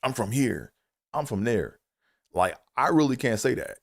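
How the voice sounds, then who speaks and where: A man's voice: medium pitch, sing-song, unhurried, vocal fry, no room sound, clean studio sound. A middle-aged man from Maryland, a comedian and engineer hosting his own livestream, talking loosely with lots of fillers and "you know what I'm saying.". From Maryland, USA. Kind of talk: casual solo livestream monologue.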